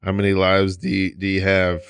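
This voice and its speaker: A man in his late thirties hosts a podcast from a studio. His voice sits low and sounds close and dry. He talks loosely, restarting sentences and checking in with his listeners. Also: monotone, moderate pace, a bit bright, smooth, no echo. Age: 30s. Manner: rambling, restarts, plenty of "you know what I mean". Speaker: podcast host. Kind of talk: casual chat.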